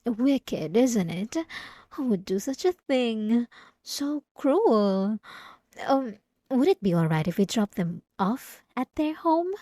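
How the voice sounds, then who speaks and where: A woman playing a sweetly devout, possessive young church girl in a scripted ASMR roleplay, soft-spoken and halting, with sugary politeness and an unsettling edge. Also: on the high side, big pitch swings, no room sound, heavily acted. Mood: sweet, solicitous. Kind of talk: scripted ASMR roleplay monologue addressed to the listener.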